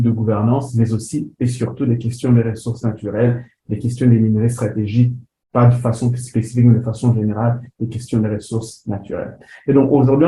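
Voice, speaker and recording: A man's voice: medium pitch, warm tone. A man from DR Congo presenting a webinar lecture, with frequent fillers and restarts. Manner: hesitant, many fillers and restarts. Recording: roomy sound with faint background noise.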